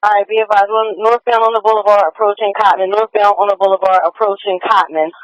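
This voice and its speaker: A man's voice: high voice, flat delivery, fast, fairly bright tone, no room sound. A man working as a police dispatcher, relaying pursuit updates and orders over the radio and repeating them. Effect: police radio transmission. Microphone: radio dispatch microphone heard over scanner. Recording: dull narrow-band police scanner radio.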